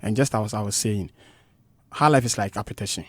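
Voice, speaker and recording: A man's voice: fairly low pitch, fairly quick, no room sound. A man with a Ghanaian English accent, a musician guest in a radio studio interview, speaking passionately and emphatically and repeating his points.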